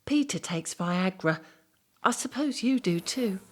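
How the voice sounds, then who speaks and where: Middle-aged wife, female actor, earnest and emphatic, easily exasperated, very varied pitch, for comic audio drama. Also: animated, measured pace. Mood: calm. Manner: emphatic, insistent. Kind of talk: two-hander comic audio play dialogue.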